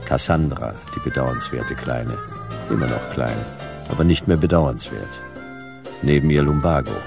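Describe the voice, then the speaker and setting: Male detective for radio noir: bottom-register voice, gravelly, wry, laconic, music underneath. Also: very deep voice, normal speed. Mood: laconic narration. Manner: sardonic, laconic. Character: hard-boiled male detective Jonas, wry, world-weary narrator. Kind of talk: radio crime drama narration and dialogue.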